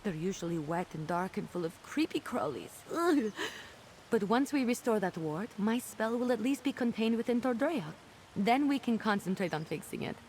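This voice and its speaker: A woman voicing an earnest, chatty young female mage apprentice in a video game, expressive and a little exasperated. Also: on the high side, normal speed, slight background noise, close to an everyday voice. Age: young adult. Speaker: quest-giving companion character. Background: game music.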